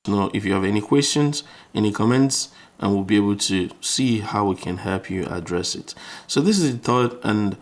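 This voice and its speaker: Male tutor, low creaky voice, informal step-by-step electronics walkthrough with repeated phrases. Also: moderate pace. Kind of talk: explanatory tutorial, thinking aloud while demonstrating.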